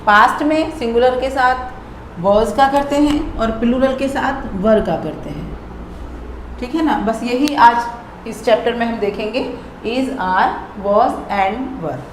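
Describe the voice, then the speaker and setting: Slow, patient woman teaching English. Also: on the high side, lively intonation. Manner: slow, patient, repeats example sentences. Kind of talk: classroom explanation mixing Hindi and English. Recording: echoey room with faint noise.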